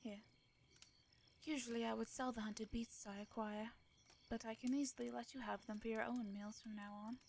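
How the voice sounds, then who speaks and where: A woman playing a shy, formal vampire in a roleplay audio drama, with an English accent, a soft breathy voice and hesitant, flustered phrasing. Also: a little high, steady tone, normal speed, slight background noise. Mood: polite, reserved.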